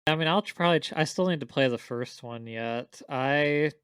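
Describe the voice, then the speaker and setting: A man's voice: a little high, moderate pace, a bit bright, clean tone, no echo. A man co-hosting a podcast, chatting casually about his opinions. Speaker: podcast co-host. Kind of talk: casual chat.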